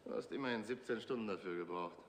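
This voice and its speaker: A man's voice: on the low side, fast talker, lightly acted. An actor playing a teasing, blunt spaceship crewman in 1960s TV drama style. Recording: very noisy old TV soundtrack.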